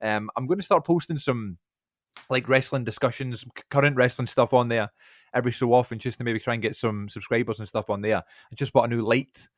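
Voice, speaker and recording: A man's voice: medium pitch, a little fast, no echo, studio quality. A man, talking casually as a podcast host. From Scotland.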